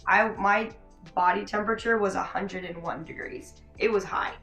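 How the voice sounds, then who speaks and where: Hoarse young woman rambling to her vlog camera, lots of likes, roomy echo, bit of music under it. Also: medium pitch, steady tone, normal speed, sharp tone. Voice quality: raspy, hoarse from illness. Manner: chatty, laughing, many likes and restarts. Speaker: vlogger. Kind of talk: casual storytelling vlog.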